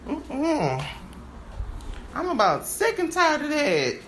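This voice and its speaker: A woman performing an improvised stage-play monologue, halting and stammering as she repeats her phrases. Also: high voice, wildly swooping pitch, slight background noise, poor audio.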